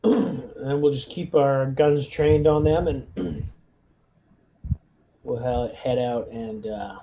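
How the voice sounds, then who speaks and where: A man with a medium-pitched, mellow voice takes part in a tabletop roleplaying game. He talks casually and easily, pausing to work out what his character does. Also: a little hiss or hum, low-quality recording.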